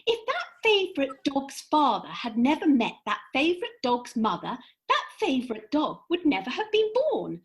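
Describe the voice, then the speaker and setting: A woman playing a brisk, bossy fairy in a comic radio play, speaking in an emphatic, precise, lecturing way. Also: high voice, wildly swooping pitch. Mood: lofty, lecturing. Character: brisk, bossy female fairy, lofty and theatrical.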